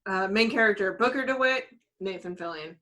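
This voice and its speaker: A woman's voice: medium pitch, a little fast, sharp tone. A woman talking casually as a panelist on a conversational podcast.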